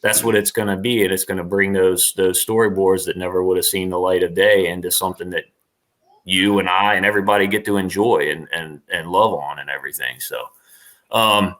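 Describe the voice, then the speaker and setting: A middle-aged man with a lowish pitch and a bright, forceful edge to his voice. He talks casually, as a guest in a livestream interview. He is emphatic and tends to repeat a point to press it home. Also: steady tone, fairly quick, poor audio. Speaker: interview guest, actor and former professional wrestler.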